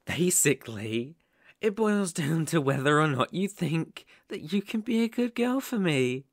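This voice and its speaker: Man acting a slow, sadistic, obsessive kidnapper. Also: on the high side, sing-song, no echo, clean studio sound, exaggerated voice. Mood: cold, possessive. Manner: menacing, mocking, slow drawn-out phrasing. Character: sadistic obsessive young male kidnapper gloating over his captive. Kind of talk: ASMR roleplay acting.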